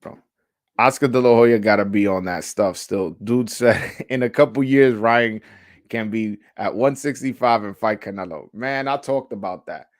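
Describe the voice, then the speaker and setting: A man's voice: lowish voice, normal speed. A man hosting a live sports call-in show, chatting casually in slangy, sweary language and often repeating phrases as he thinks aloud. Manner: informal, slangy, frequent swearing. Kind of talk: casual sports chat answering live commenters.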